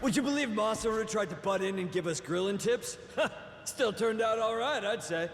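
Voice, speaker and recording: Excitable cheeky pirate crew guy, high voice, slangy, echoey game cutscene. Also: normal speed. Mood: excited. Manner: slangy, boisterous. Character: cheeky, excitable pirate crewman eager for profit. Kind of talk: scripted video game cutscene acting. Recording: echoey hall, faint background noise.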